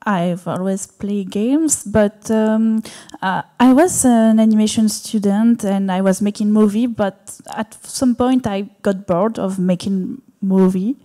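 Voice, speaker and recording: Slow, halting woman on a panel. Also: medium pitch, mellow. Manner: hesitant, halting, with restarts and laughs. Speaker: panelist, animation director and game artist. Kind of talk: live panel talk. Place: small venue stage before an audience.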